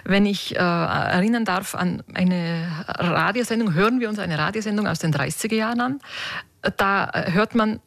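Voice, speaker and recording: Tyrolean woman, dialect expert, gravelly, hesitant but thoughtful, dry studio sound. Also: medium pitch, moderate pace, a bit bright, dry recording. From Paznaun valley, Tyrol, Austria. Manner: thoughtful, explanatory, frequent hesitations. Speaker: dialect researcher. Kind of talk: radio interview.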